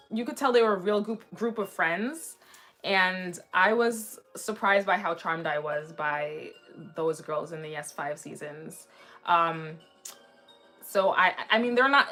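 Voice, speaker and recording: A woman in her twenties chatting casually on a livestream in a rambling way, slightly raspy from a sore throat. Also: mid-range voice, fairly bright tone. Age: 20s. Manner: chatty, rambling, frequently restarting sentences. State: mildly sick with a sore throat. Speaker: anime livestream host. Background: background music.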